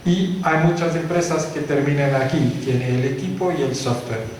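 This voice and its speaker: Portuguese man speaking haltingly in an echoey hall. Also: a little high, darkish tone. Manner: hesitant, halting, with many fillers and restarts. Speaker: company founder giving a talk. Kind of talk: conference presentation. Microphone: handheld microphone. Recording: very echoey hall with faint noise.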